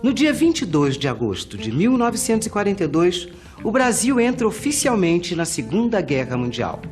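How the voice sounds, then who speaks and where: A man's voice: high voice, sing-song, normal speed, a little mellow, faint music behind. A man with a Brazilian accent narrates scripted historical events in short, declarative, newsreel-like sentences.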